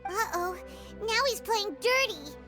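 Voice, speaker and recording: An actress voicing a tiny, excitable, indignant childlike sidekick in a video game. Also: female voice, very high-pitched, slow pace, full character voice. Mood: alarmed indignation. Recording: game music behind the voice.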